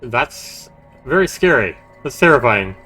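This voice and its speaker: A man's voice: mid-range voice, very animated, unhurried, over music, poor audio. A man livestreaming a horror visual novel, reading it aloud dramatically and chatting casually about it. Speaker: livestreamer playing and narrating a game.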